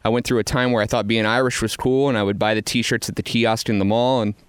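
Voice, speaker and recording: A man's voice: on the low side, flat delivery, fast, smooth, no room sound, studio quality. A man hosting a radio interview in a casual, joking, self-deprecating and informal way. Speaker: radio show host.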